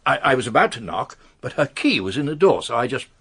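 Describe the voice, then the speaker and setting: Anxious English gentleman, husky and animated. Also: medium pitch, fast talker, exaggerated voice. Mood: shaken, hesitant. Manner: hesitant, stammering, formal. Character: anxious, confessing upper-class English gentleman. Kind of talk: radio drama acting.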